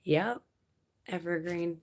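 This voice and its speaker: A young woman from Canada hosting a late-night livestream, chatting with viewers in a relaxed, rambling way and laughing often. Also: fairly low pitch, takes it slowly. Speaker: livestream host.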